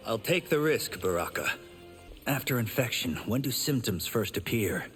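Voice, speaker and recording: A man's voice: medium pitch, expressive, moderate pace, fairly bright tone, soft background music, natural voice. An actor playing a modest, earnest male hero in a fighting game, speaking politely. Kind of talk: video game fight intro dialogue.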